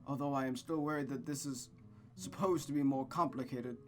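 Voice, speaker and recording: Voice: medium pitch, fairly even intonation, a little fast, close to an everyday voice. A reader playing a deferential father in a visual novel, subdued and polite. He sounds hesitant and apologetic, over light guitar music. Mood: embarrassed, polite. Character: mild, embarrassed, deferential father of a girl. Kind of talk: visual novel read-aloud character voice.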